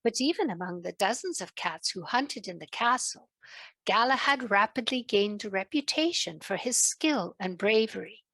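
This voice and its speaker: A woman narrating a children's story in a gentle, deliberate way, with a British accent that comes and goes. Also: medium pitch, lively intonation, no echo. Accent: British, fading in and out.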